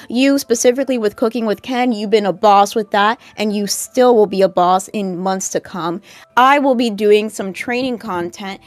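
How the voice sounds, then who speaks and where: A woman's voice: mid-range voice, dry recording. A woman co-hosting a casual livestream in an upbeat, teasing way, reading out new members' names. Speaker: co-host.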